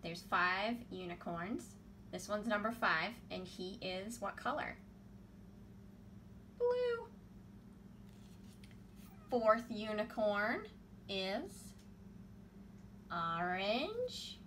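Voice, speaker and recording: A woman in her thirties hosts a children's storytime at a slow, measured pace. Her phrasing is simple and instructive, recorded indoors with faint noise. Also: medium pitch, very animated, a bit bright. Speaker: children's librarian and storytime host. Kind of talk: storytime presenting for young children. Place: small room at home, indoors. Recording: home video with faint background noise.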